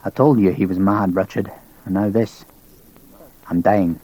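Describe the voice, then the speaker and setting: A man's voice: fairly low pitch, moderate pace, rough recording. A man voicing a dying wounded captain with a Scottish accent, speaking weakly and matter-of-factly. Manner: weak, quiet, matter-of-fact.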